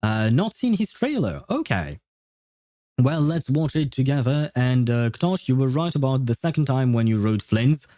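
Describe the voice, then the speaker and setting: A man's voice: medium pitch, very animated, normal speed, dark tone, dry recording. A man livestreaming casual reaction commentary on a game, thinking aloud in informal language.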